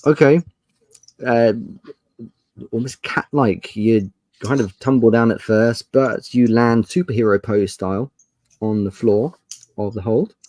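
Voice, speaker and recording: A man's voice: medium pitch, unhurried, pauses often, a little mellow, no room sound. A man running a tabletop role-playing game as game master, narrating casually and thinking aloud as he goes.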